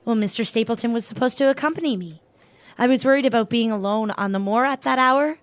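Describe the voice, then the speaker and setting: Actress as a guarded, higher-voiced, dignified woman. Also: quick pace, no echo, lightly acted. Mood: earnest. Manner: composed, formal, reserved. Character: guarded, curt, dignified divorced working woman. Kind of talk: audio drama acting.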